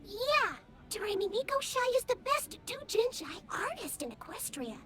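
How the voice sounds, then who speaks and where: A woman's voice: very high-pitched, big pitch swings, normal speed, pushed and bright, a little hiss or hum, exaggerated voice. A synthetic female voice playing a timid young cartoon pony fan in an audio drama. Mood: nervous, admiring.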